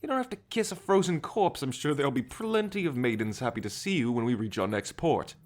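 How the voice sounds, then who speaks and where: An actor playing a greedy, impatient, sour treasure-hunting sailor of the 1790s in an audio roleplay, brusque and exasperated. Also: male voice, mid-range voice, animated, brisk, natural voice. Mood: impatient, scornful.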